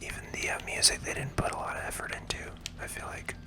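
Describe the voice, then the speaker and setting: A man giving soft, unhurried ASMR commentary on a video game in a breathy whisper. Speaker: gaming video host. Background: video game music.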